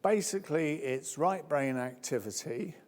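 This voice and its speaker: A man's voice: expressive, unhurried, a little mellow. Man, workshop presenter, chatty, jokey, long hall reverb. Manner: jokey, chatty, jumps to high squeaky voices when acting out others. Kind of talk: conference workshop talk with audience questions. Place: conference hall.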